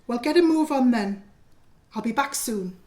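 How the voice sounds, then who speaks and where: An actress playing a strict, bossy Edwardian household matron in an audio play, giving commanding orders and scoldings. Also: female voice, a little high, expressive, moderate pace, warm tone, lightly acted.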